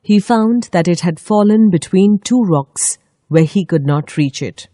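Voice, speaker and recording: Mellow, lowish-voiced woman telling a children's story. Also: animated, a little slow, no room sound, studio quality. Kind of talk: scripted children's story narration.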